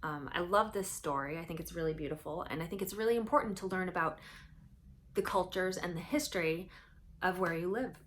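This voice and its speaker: A woman's voice: lowish voice, fairly quick, a little hiss or hum. Woman reading a children's story expressively. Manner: animated, asks the young listeners questions. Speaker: storyteller reading a picture book.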